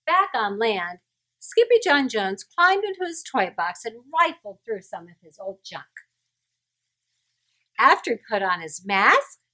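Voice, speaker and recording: Slow, very animated woman reading children's stories. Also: high-pitched. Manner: playful, exaggerated, pausing to ask listeners questions. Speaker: children's storyteller. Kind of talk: animated read-aloud of a picture book to young children.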